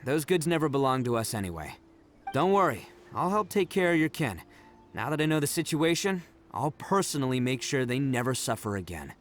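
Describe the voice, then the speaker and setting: An actor playing a brash, boastful young male oni gang leader in a video game, loud and big-hearted, often laughing. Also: mid-range voice, sing-song, a little fast, lightly acted. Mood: reassuring. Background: game music.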